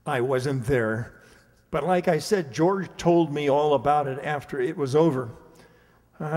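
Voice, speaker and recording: Male actor as folksy narrator, halting, casual, moderately high voice, radio play, long reverb. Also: a little mellow. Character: folksy, rambling male storyteller recounting hearsay. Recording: echoey hall with long reverb.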